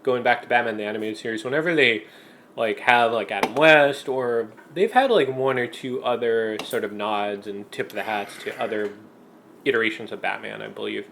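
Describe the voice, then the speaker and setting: A man chats casually as a podcast host. He talks like a fan thinking out loud, often halting mid-sentence and leaning on "like" as he finds his point. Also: lowish voice, gravelly, slight background noise. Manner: halting, thinking aloud with frequent "like".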